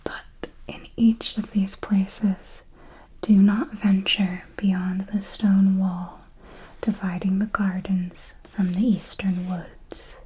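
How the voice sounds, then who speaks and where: A woman playing an elegant vampire mentor in a whispered ASMR roleplay, formal and deliberate, possessive, teasingly menacing and protective, with a breathy delivery. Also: medium pitch, little pitch movement, mellow, poor audio. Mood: calm warning. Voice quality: breathy, mostly unvoiced whisper. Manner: slow, deliberate, formal, with measured pauses.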